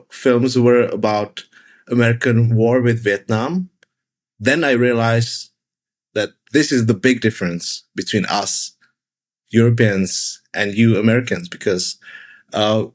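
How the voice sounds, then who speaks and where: A man, a film director guest on a panel, speaking with many fillers and restarts. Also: medium pitch. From Czech Republic. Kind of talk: casual panel conversation.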